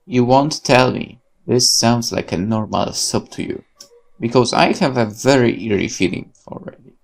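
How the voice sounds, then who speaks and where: A man whose first language is Polish reads a horror game aloud for his viewers, with a gravelly, fry-laced voice. His pitch moves in a lively way, and he breaks off for asides and quick corrections. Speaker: let's play host. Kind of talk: reading a horror visual novel aloud.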